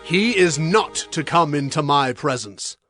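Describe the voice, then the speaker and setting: Stern, expressive biblical king. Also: a little high, measured pace, faint music behind. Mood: stern, decisive. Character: authoritative, stern king giving judgment. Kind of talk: dramatized Bible audio acting.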